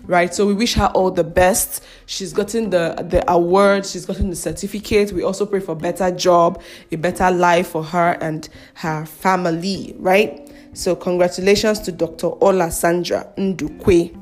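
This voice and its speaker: A woman's voice: fairly low pitch, steady tone, moderate pace, a little mellow, faint music behind. A young Nigerian woman with a Nigerian accent, hosting a casual podcast and chatting through the news with frequent laughs and mocking asides. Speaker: podcast host.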